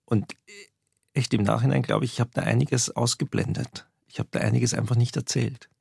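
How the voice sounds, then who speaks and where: Man interviewed, raspy and gravelly, thoughtful and halting. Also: mid-range voice, steady tone, darkish tone, dry recording, clean studio sound. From Austria. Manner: reflective, hesitant, with chuckles. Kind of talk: reflective interview.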